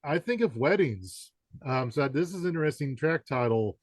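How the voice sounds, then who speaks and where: A man's voice: fairly high pitch, expressive, normal speed, no room sound. A man hosting a conversational music interview, offering guesses in rambling, hesitant phrasing. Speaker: podcast interviewer.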